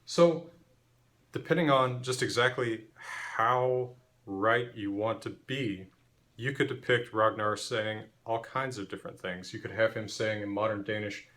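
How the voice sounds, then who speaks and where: Measured professorial man, lowish voice. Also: fairly bright tone. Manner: measured, clear, professorial explaining. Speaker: university language lecturer. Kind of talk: explanatory lecture video.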